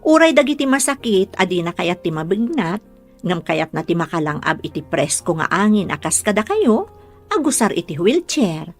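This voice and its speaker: A woman's voice: fairly low pitch, very animated, moderate pace, faint music behind. An actress playing a cheerful, frank young female caregiver with an Ilocano accent in a radio drama. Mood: coaxing.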